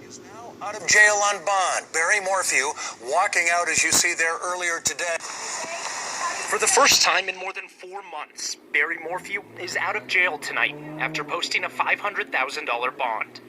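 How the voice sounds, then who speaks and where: A man's voice: high-pitched, moderate pace, background noise. A man delivering a scripted television news report. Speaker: TV news presenter.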